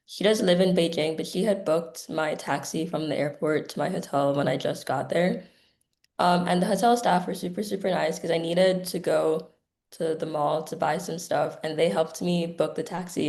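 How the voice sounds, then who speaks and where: A woman's voice: sits low, darkish tone. A young woman student on a panel, talking easily with many "like"s and "kinda"s. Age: young adult. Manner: easygoing, lots of "like" and "kinda". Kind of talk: casual panel answer.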